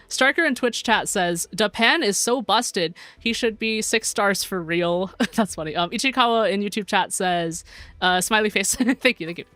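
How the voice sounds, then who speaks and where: A woman's voice: mid-range voice, moderate pace, bright, forceful tone, no room sound, soft background music. A young American woman chatting casually while streaming a game, reading chat aloud, giggling often and rambling through her sentences. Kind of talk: casual gameplay livestream chat.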